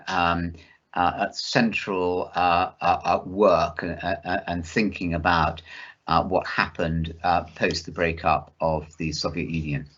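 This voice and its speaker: Halting male interviewer with a bright, tense voice. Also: low voice, lo-fi sound. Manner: halting, with many hesitations and repeated words. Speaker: interviewer, university vice chancellor. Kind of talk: conversational interview. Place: study in a house.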